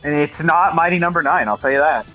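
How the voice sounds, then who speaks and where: A man's voice: a little high, fast, sharp tone, faint music behind, low-quality recording. A man chatting casually on a stream, wry and sarcastic, swearing freely. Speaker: stream co-host.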